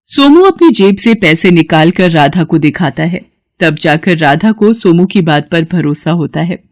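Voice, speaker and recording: A woman reads a children's story as narrator. Her pitch is a little low and the delivery is scripted storytelling. The recording has very little room sound. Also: expressive, unhurried, no room sound, clean studio sound.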